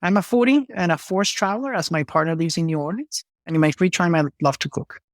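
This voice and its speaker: A man with a slightly high voice works through clinical details in order, one item at a time. His voice is close and dry, with no room sound around it. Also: quick pace, no echo. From Venezuela. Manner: methodical, listing clinical details. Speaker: cardiology fellow, guest. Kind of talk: case presentation on a podcast.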